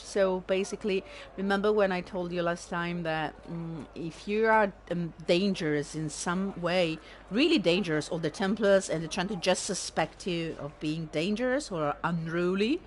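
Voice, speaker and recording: A woman's voice: lowish voice, a little hiss or hum. Italian woman doing sardonic game commentary. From Italy. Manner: sardonic, animated, swears freely. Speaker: streamer. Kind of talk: casual let's-play commentary.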